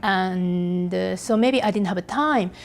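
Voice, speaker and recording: A middle-aged Japanese woman with a Japanese accent, speaking English as a second language as an artist guest in a podcast interview. She talks haltingly and pauses often to search for words. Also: lowish voice.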